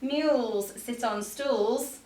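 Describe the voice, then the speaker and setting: Woman voicing a bossy storybook cat, drawn-out and insistent. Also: fairly high pitch, darkish tone, echoey room, far from the mic, pitched above the performer's own voice. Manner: emphatic, insistent, drawn-out delivery. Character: bossy, know-it-all cat laying down rules. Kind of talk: children's picture book read-aloud.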